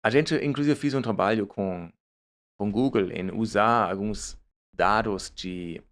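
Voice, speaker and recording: A man's voice: fairly low pitch, a little slow, fairly bright tone. A man from Germany speaking with a German accent, a startup founder answering interview questions in a hesitant way, often repeating words and mixing in English. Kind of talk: casual interview talk.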